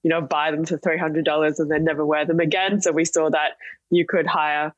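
A woman's voice: sits low, little pitch movement, brisk, no echo. A young woman from Singapore telling her story conversationally as an interview guest on a podcast. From Singapore, partly Australia. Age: young adult. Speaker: podcast guest, startup chief executive.

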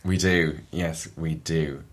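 Middle-aged Yorkshire man, low and slightly husky, chatty podcast guest who stumbles over repeated words. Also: steady tone, slow pace. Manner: chatty, repeats words when starting sentences. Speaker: guest, events agency director. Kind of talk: casual interview chat.

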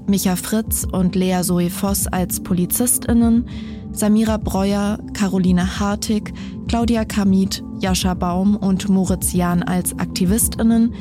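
A woman reading scripted credits as an announcer in an orderly narration style. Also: medium pitch. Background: prominent music.

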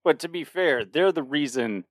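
A man's voice: fairly high pitch, lively intonation, moderate pace, dry recording, clean studio sound. A man in his late thirties co-hosting a podcast in a casual chat, rambling with frequent restarts and laughter. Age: 30s. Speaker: podcast co-host.

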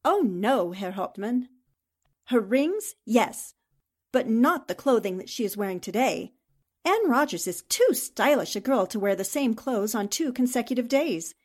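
A woman's voice: a little high, sing-song, a little slow, darkish tone. A woman playing a poised, haughty countess working as a Nazi impostor in a 1940s-style radio drama. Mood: haughty, correcting.

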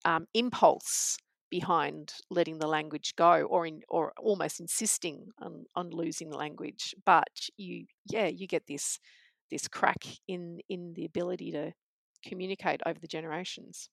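A woman in casual conversation, reflective and earnest, pausing with frequent ums. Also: on the low side, steady tone, vocal fry, dry recording.

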